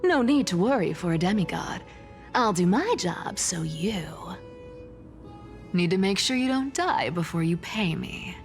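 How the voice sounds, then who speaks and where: Sly, boastful female demigod for a fantasy game, highly animated delivery. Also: measured pace, soft background music. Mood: cocky, teasing. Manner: playful, boastful. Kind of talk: scripted game voice acting.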